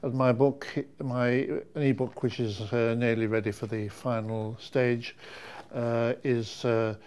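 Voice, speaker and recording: Halting, slow-spoken man. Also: medium pitch, level tone, darkish tone, clean tone. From Nottingham, England. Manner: halting, heavily hesitant, stammering over words. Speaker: seminar attendee, author. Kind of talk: informal testimonial.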